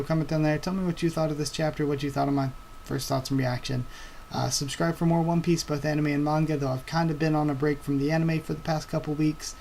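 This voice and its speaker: A young man reacting live to a new manga chapter, excitable and thinking aloud with stammering restarts. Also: fairly high pitch, gravelly, slight background noise.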